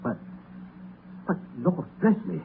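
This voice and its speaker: A man playing a polite, flustered charity gentleman who stammers in astonishment, in 1930s radio acting. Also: high voice, lively intonation, slow, creaky, full character voice. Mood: astonished, grateful. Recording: noisy, tinny 1930s radio broadcast.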